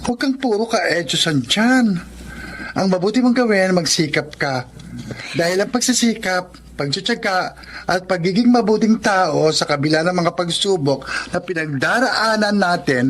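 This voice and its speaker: An actor playing a frank, moralizing uncle who admonishes and encourages earnestly in a Tagalog radio drama. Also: male voice, high-pitched, fairly bright tone, a little hiss or hum. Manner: preachy, earnest.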